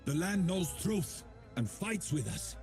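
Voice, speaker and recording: Defiant warrior rallying soldiers: solemn, declamatory, widely varied pitch, video game drama with music behind. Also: male voice, expressive, unhurried, a little mellow. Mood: solemn resolve.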